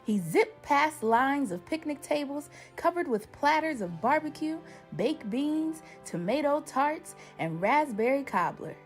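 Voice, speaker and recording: Slow, expressive woman reading a storybook over music. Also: fairly high pitch. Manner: expressive, deliberate phrasing. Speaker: children's book reader. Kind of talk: scripted storybook narration.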